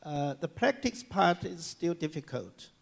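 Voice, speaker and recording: A man giving a public lecture and answering audience questions, speaking haltingly with many fillers and restarts. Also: on the high side, very animated, gravelly. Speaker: guest lecturer. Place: lecture hall.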